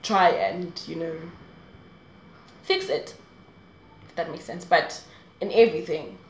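A woman's voice: sits low, sing-song, takes it slowly, roomy sound, a little hiss or hum. A woman in her twenties talking straight to camera in a casual, rambling advice vlog, laughing often. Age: 20s. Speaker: vlogger. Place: small room indoors.

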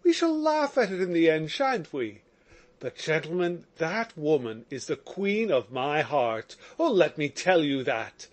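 A man's voice: high voice, full character voice. A man voicing a desperate, passionate young prisoner who pleads and exclaims in an audiobook reading.

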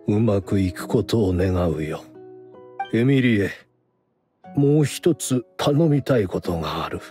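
A man voicing a weary, dignified older scholar in a game, speaking formally in a measured, resigned way. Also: sits low. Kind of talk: Japanese game dub dialogue.

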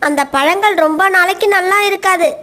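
A woman's voice: very high voice, fairly quick, bright, forceful tone. A woman voicing an earnest, outspoken young female parrot in a cartoon story. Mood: puzzled, earnest.

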